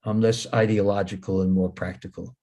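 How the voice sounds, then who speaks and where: Older man in his 70s, Hebrew native speaker, low voice, wry and blunt, stumbles and repeats, video-call audio. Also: little pitch movement, brisk, mellow. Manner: repeats himself, plain-spoken, wry. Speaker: speaker at a talk. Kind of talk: casual talk.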